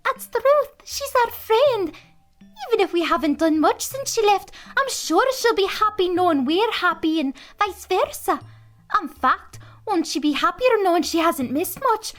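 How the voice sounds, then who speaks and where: A woman's voice: very high-pitched, expressive, unhurried, a bit bright, soft background music, full character voice. A woman voicing a plucky, cheerful young female godling who talks in an upbeat, earnest, chatty way. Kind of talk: fan audio drama acting.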